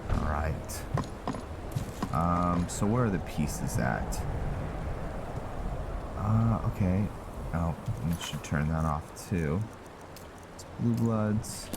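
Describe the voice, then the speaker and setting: A man's voice: deep voice, lively intonation, extremely slow, long pauses, heavy background noise. A man giving casual, laid-back let's-play commentary on a video game, thinking aloud as he plays. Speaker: gaming host, roleplaying player.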